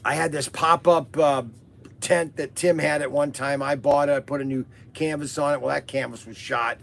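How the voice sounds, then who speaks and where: Hoarse, gravelly man telling a rambling story. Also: fairly high pitch, fairly even intonation, normal speed. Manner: rambling with many fillers and restarts. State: losing his voice, hoarse. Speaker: host of a toy business live podcast. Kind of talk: casual livestream storytelling.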